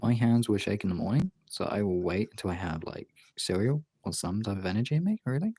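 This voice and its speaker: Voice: low voice, lively intonation, fairly quick, dark tone, husky. A streamer chatting casually while demonstrating drawing, talking in an informal, sweary way. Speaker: art streamer.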